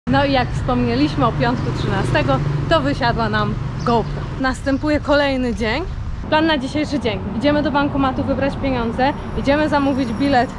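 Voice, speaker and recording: A woman's voice: sits high, moderate pace. A woman in her thirties, a travel vlogger, chatting frankly and rambling to a handheld camera on city streets. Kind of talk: casual travel vlog chat. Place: outdoors on city streets and in a train station. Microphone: action camera held at arm's length. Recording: loud street noise and crowd.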